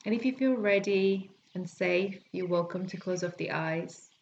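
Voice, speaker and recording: A woman teaching yoga nidra, guiding a relaxation meditation in a gentle, soothing, unhurried way with long pauses. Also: lowish voice, gravelly, dull audio. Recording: birdsong and forest sounds behind voice. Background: calming forest nature sounds with birds.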